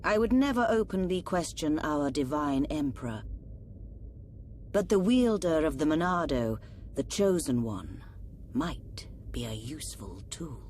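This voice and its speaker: A woman playing a cold, scheming government minister in a video game, formal, measured and insinuating. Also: sits low, expressive, a little mellow, a little hiss or hum. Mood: sly, deferential. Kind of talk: dramatic video game voice acting.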